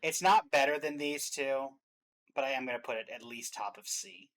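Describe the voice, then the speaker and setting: A man hosting a casual reaction and ranking chat, opinionated, with emphatic swells that trail off quieter. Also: mid-range voice, fairly even intonation, normal speed, rough recording. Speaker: reaction show host.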